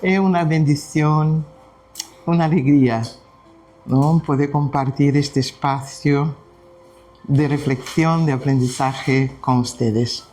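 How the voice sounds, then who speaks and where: Slow, gentle Brazilian man speaking Spanish. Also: a little high, steady tone, soft background music. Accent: Brazilian Portuguese. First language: Portuguese. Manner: gentle, unhurried, with frequent pauses. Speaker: spiritist study presenter. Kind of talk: devotional talk with storytelling.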